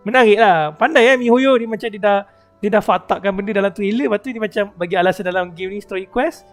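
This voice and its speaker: A Malaysian man chatting casually in slangy, code-switching live game commentary. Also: high voice. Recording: game music behind the voice. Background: game music.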